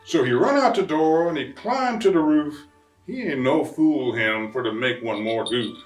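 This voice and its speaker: Man putting on an animated, folksy storyteller. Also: fairly high pitch, normal speed, close to an everyday voice. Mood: amused wonder. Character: excitable Cajun bayou papa telling a Christmas tale. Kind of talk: rhyming storybook reading. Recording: roomy, distant mic, faint music.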